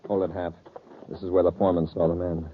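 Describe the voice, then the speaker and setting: An actor playing a decisive space patrol commander in a 1950s radio serial, speaking with clipped authority. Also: male voice, low voice, flat delivery, quick pace, close to an everyday voice. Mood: commanding. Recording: muffled, noisy old-time radio recording.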